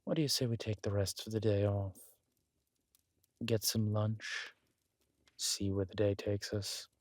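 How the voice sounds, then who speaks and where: A man playing a regal, wry, menacing villain king in a roleplay audio drama, speaking in a measured, intimate, smugly theatrical way. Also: lowish voice, level tone, dry recording, natural voice. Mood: flirtatious, teasing.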